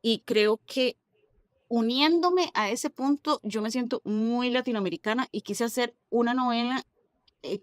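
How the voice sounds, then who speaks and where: A woman's voice: fairly high pitch, dry recording, clean studio sound. A woman with a Costa Rican accent, a novelist chatting as a guest in an interview and dropping local slang. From Costa Rica. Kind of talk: casual interview conversation.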